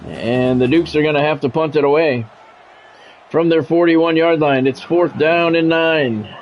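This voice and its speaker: Higher-pitched American man calling a football game. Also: fairly even intonation, measured pace. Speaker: game streamer, play-by-play commentator. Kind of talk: live sports-style commentary mixed with casual chat. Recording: dull lo-fi sound with music behind.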